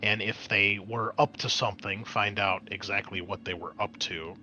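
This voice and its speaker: A man's voice: on the low side, steady tone, moderate pace, pushed and bright, lo-fi sound, slight background noise. A man narrating a tabletop roleplaying game as game master, in an explanatory, conversational way.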